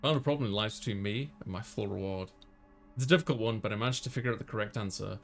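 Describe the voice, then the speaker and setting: Creaky-voiced man reading a game story. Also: lowish voice, fast talker. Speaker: let's player reading a visual novel aloud. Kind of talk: narrating a visual novel in first person, casual playthrough.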